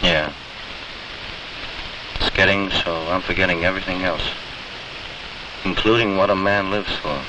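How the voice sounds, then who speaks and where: A weary, resigned marine talks wryly in a low voice. The 1940s film sound is noisy, with the voice away from the microphone. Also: male voice, steady tone, a little slow, long pauses, far from the mic. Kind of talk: 1940s military training film acting.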